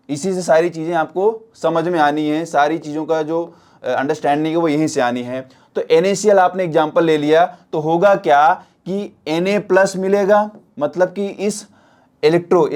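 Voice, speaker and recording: A man's voice: on the high side, darkish tone. A man teaching an exam-prep science lesson, repeating points and often checking with questions that students follow. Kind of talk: spoken classroom lesson.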